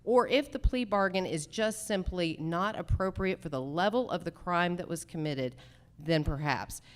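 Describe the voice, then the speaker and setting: A woman candidate for judge speaking formally at a forum, deliberate, articulate and emphatic. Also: on the low side. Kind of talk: formal forum answers, measured campaign speech.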